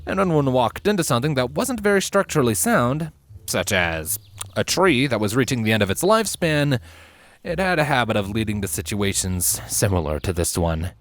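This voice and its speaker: A man reading a fiction story aloud as its narrator, in an expressive scripted style. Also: medium pitch, moderate pace.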